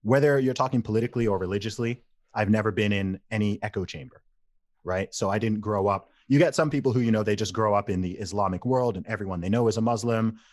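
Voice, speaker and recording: A man's voice: fairly low pitch, quick pace, darkish tone, no room sound. A man in his thirties from England, a podcast guest who tells stories animatedly, repeats phrases and laughs easily. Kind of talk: casual interview conversation.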